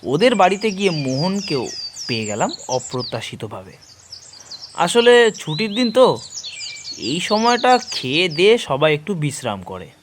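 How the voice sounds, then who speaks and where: Male horror narrator, unhurried and animated, measured dramatic phrasing, noisy recording with chirps. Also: fairly high pitch. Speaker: narrator of an audio horror story series. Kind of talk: dramatic first-person story narration in Bengali.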